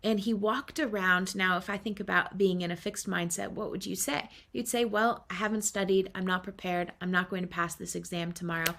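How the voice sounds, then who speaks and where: A woman giving an earnest spiritual talk, speaking with affirmations and unhurried pauses. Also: on the low side, creaky, a bit bright. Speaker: spiritual teacher.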